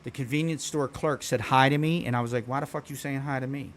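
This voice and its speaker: A man's voice: medium pitch, fairly even intonation, fast, a little hiss or hum. A man, a live streamer telling stories to his viewers in a blunt, profane, rambling way with lots of restarts. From Philadelphia. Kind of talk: casual storytelling chat to stream viewers.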